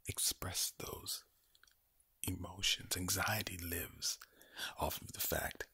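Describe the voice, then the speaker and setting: A man gives an ASMR monologue in a very breathy whisper, close on the mic and very dry. He speaks slowly and deliberately, circling back over phrases. The delivery is soothing with a sinister edge, and there is a crackly fry in the low voice. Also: level tone, sharp tone, no room sound. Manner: slow, deliberate, repeating words and phrases; soothing but sinister-sounding. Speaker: ASMR performer. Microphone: close microphone.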